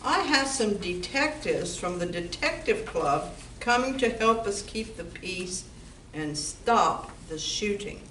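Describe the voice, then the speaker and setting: A woman as a slow-talking, wry bar owner. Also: medium pitch, animated, fairly bright tone. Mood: businesslike, wry. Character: wry, practical married woman who owns a bar. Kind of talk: amateur stage comedy radio play acting. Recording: echoey room from far off, background noise.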